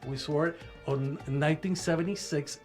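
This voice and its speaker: An older man, born in Cuba, chats on a radio show in a slightly high voice. He gets animated and restarts his sentences often, with faint music playing behind him. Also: normal speed. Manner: animated, restarts often, rising when stirred. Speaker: co-host. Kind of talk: casual radio chat.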